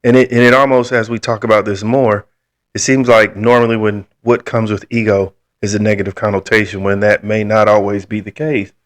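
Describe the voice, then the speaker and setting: A man's voice: fairly low pitch, little pitch movement, fairly quick. A man co-hosting a podcast, casually asking probing questions and pushing back on his partner's points. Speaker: podcast co-host.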